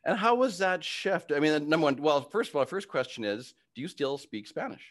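A man's voice: on the high side, lively intonation, fairly quick, fairly bright tone. A middle-aged man hosting a podcast interview over a video call, asking enthusiastic, encouraging questions. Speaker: podcast host. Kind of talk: casual interview talk. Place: home studio with brick wall. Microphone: studio mic on video call.

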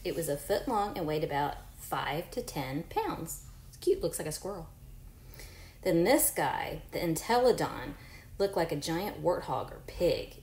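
A woman in her forties, a teacher reading a picture book aloud to young children in an encouraging way, with chatty asides. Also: on the low side. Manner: encouraging, addresses unseen children with questions. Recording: roomy echo, faint background noise.

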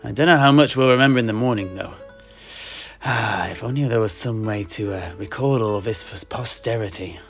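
A man's voice: lowish voice, fairly quick, faint music behind. An older man hosting an audio drama in character as a tavern keeper, genial and lecturing.

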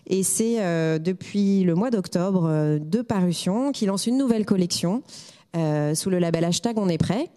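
Hesitant woman guest with mellow voice. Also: on the low side, animated, normal speed, long reverb tail. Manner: hesitant, many fillers and restarts. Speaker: guest, editorial director. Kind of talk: interview. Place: small studio set. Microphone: handheld mic.

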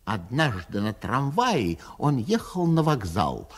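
A man reading a children's rhyming poem aloud in a sing-song, lively way. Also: medium pitch, slow pace, husky. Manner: lively, sing-song delivery with a recurring refrain. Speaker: narrator.

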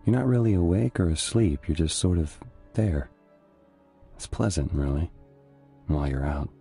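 An actor playing a weary, haunted young American soldier, narrating a war game in a brooding, measured way. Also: male voice, deep voice, expressive, creaky, warm tone, breathy edge, faint music behind, exaggerated voice. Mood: bleak, resigned.

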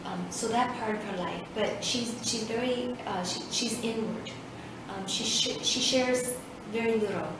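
A woman in her fifties, from Vietnam and a native Vietnamese speaker, telling her story in an oral history interview, hesitant and reflective with many pauses. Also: fairly high pitch. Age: 50s. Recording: echoey room, distant mic, background noise.

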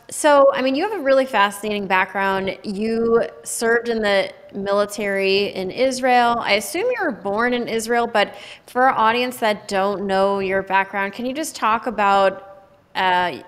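A woman's voice: medium pitch, a little slow, in a large hall. A middle-aged woman hosting a conversational interview over a video call, speaking into a microphone indoors. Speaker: interview host. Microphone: microphone on boom arm, close.

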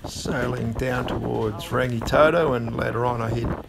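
A man, narrating his sailing trip in a relaxed, plain-spoken voice-over. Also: medium pitch, moderate pace, creaky, heavy background noise. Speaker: sailing vlogger.

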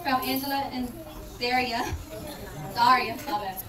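A woman hosting a church program, announcing acts in a casual, relaxed way. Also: fairly high pitch, measured pace, crowd noise. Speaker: program host. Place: church hall. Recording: echoey hall from far off, noisy.

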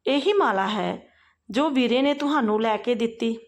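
A woman's voice: a little high, normal speed, lightly acted. A woman voicing a caring, perceptive and persistent sister-in-law in a family story, earnestly questioning and advising. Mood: probing, insistent.

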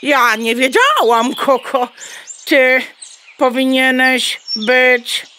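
A woman's voice: sits high, very slow, bright, forceful tone, a little hiss or hum, heavily acted. A woman voicing a bossy, worried talking hen with clucking tics in a children's radio play. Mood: flustered. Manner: scolding, emphatic, stammering clucks. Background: birdsong.

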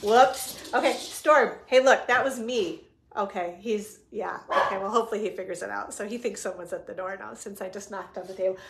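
A woman in her forties, a bit hoarse from a cold, chattily demonstrating craft supplies on a home live stream and greeting viewers by name. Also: medium pitch, a little fast, slight background noise. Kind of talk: casual live-stream demo. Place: living room at home.

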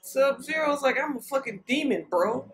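A woman in her thirties hosting a loud movie reaction at home, exclaiming and shouting at the screen. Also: high-pitched, animated, moderate pace, faint music behind.

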